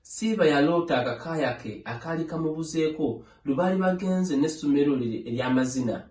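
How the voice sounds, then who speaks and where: A man narrating a children's story aloud in a measured, phrase-by-phrase read-along style. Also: fairly high pitch, darkish tone. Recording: echoey room.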